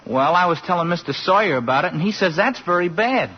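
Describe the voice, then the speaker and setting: A man's voice: high voice, expressive, fast talker, slightly rough. A man playing a simple, good-hearted young working-class fellow in 1940s radio style, artless, colloquial and glum. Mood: glum, confiding. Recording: muffled, noisy vintage 1940s radio broadcast.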